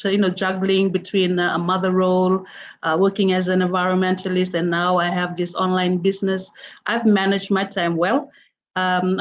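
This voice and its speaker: A middle-aged woman with a Fijian accent, a guest in a casual interview, who speaks haltingly with many "uh" and "um" pauses. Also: medium pitch, a little hiss or hum. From Fiji. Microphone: video call. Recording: dull lo-fi online call audio.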